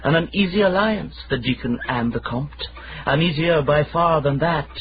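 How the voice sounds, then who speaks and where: An actor playing a florid amateur poet, declaiming grandiose verse pompously in a radio drama. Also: male voice, a little high, moderate pace, close to an everyday voice. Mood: grand, searching. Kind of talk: period radio drama acting. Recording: muffled, noisy vintage radio recording.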